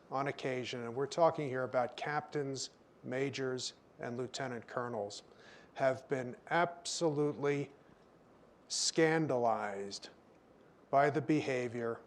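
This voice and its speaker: A man moderating a public panel, speaking emphatically and often restarting his phrases. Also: medium pitch. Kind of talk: formal panel remarks.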